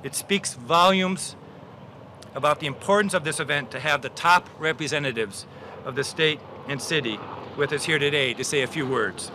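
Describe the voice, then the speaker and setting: A man's voice: fairly high pitch, moderate pace, bright, forceful tone, slight background noise. A man giving formal prepared remarks at an outdoor press conference, repeating words for emphasis. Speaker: conductor. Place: outdoors at a city event.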